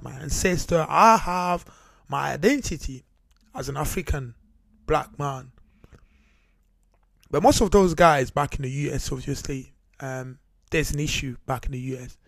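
A man's voice: on the high side, gravelly, no room sound. A man from Cameroon hosting his own talk show, speaking earnestly with many fillers and restarts. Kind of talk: solo podcast monologue. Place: United Kingdom.

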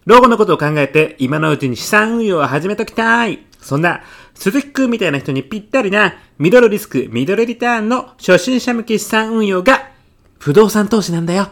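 Man acting a lively, sly, mild-mannered classmate. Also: sits high, brisk, gravelly, full character voice. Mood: mimicking sales pitch. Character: mild, self-effacing, slyly pitching male classmate, thirties. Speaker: actor in an audio comedy sketch. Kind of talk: short comedic radio drama dialogue.

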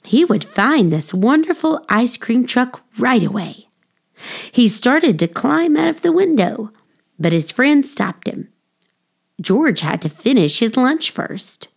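A woman reading a children's story aloud as scripted narration, with expressive, deliberate phrasing for young listeners. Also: a little high, vocal fry. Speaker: audiobook reader, children's storyteller.

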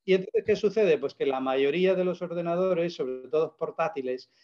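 Persuasive man, slightly high voice. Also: normal speed, a little mellow, lo-fi sound. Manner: emphatic, persuasive explaining with rhetorical questions. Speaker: guest presenting a product. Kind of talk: casual interview.

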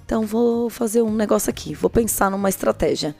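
A woman's voice: medium pitch, brisk, creaky, warm tone, soft background music. A woman with a Brazilian accent telling a story casually and playfully, in colloquial language, acting out the dialogue as she goes. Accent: Brazilian Portuguese. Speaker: podcast host telling listeners' dating stories. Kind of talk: casual comic storytelling chat.

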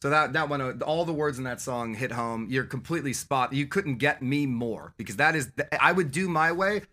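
A man's voice: medium pitch, a little fast, bright, forceful tone. A man, a metal band guest, talking casually and rambling emphatically, swearing at times. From Las Vegas. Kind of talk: casual podcast chat.

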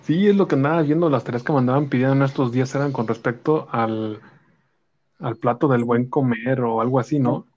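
A man from Jalisco with a Mexican accent, giving calm, measured replies in a casual chat. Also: medium pitch, lo-fi sound.

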